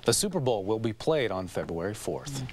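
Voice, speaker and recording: A man's voice: lowish voice, very animated, normal speed, slight background noise. A man with an American accent delivering scripted news as an anchor.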